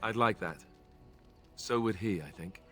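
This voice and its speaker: Young warrior, gentle, grieving, subdued, low voice. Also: male voice, a little slow, soft background music.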